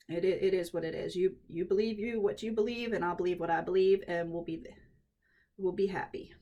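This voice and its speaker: A woman at home, a little croaky from allergies, chatting through a head-wrap tutorial and thinking aloud as she works. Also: mid-range voice, darkish tone. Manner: chatty, thinks aloud while working, frequent restarts. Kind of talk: casual hands-on tutorial.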